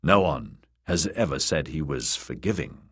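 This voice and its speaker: A man's voice: rumbling low, expressive, moderate pace, fairly bright tone, slightly rough, exaggerated voice. A man voicing a stern, weary young military commander in an audiobook, terse and used to giving orders. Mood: grave.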